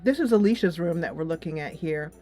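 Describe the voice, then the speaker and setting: A woman's voice: sits low, lively intonation, a little fast, mellow. A woman hosting a casual game review, talking in a chatty, loosely structured, emphatic way. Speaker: game reviewer and channel host. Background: music.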